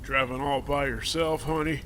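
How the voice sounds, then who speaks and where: A man's voice: mid-range voice, fairly even intonation, normal speed, a bit bright, lightly acted. A man playing a crude, menacing militia captain with a smarmy, folksy drawl in an amateur audio drama. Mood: leering, sleazy. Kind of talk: audio drama script reading. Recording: noisy home recording.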